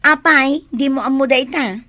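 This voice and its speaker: A woman's voice: high-pitched, measured pace, bright, forceful tone. A woman with an Ilocano accent playing a gossipy, teasing village friend in a comedy radio drama.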